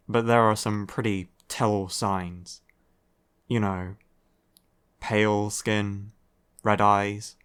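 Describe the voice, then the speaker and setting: A man's voice: fairly low pitch, clear, resonant tone. A man playing a grumpy, weary young vampire in an audio roleplay, curt and sardonic with heavy sighs. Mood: sardonic, incredulous. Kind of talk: roleplay audio acting, ASMR.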